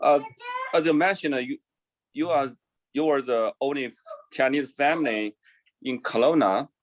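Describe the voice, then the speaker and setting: A man in the audience of an online forum asking a question, halting with restarts and fillers. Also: medium pitch, no echo. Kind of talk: question on a video call.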